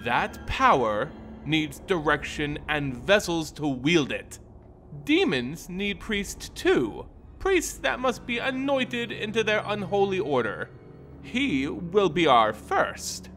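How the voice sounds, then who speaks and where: A man's voice: sits high, big pitch swings, slow pace, fairly bright tone, faint music behind, voice pushed up, full character voice. A man voicing a haughty female vampire lord in a fantasy story, coy, mocking and condescending. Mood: smug.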